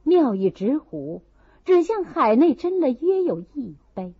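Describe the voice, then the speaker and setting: A woman reading a classic novel aloud as an audiobook, with expressive dramatized narration. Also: high voice, measured pace, no room sound. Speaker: audiobook reader. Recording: slightly dull, muffled recording.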